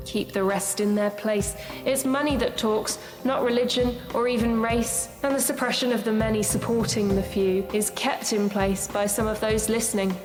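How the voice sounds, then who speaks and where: An actress plays a young woman poet in a radio drama, earnest and bitter. Her high voice delivers rhymed verse deliberately, ringing with long hall reverb over music. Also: close to an everyday voice. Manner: declamatory, rhyming, deliberate delivery. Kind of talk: performed spoken-word poetry in radio drama.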